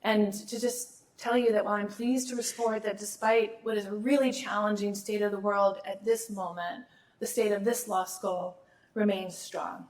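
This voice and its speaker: A woman's voice: medium pitch, steady tone, moderate pace, a little mellow, low-quality recording. A middle-aged woman, a law school dean, gives a formal awards speech while losing her voice, with small restarts and asides.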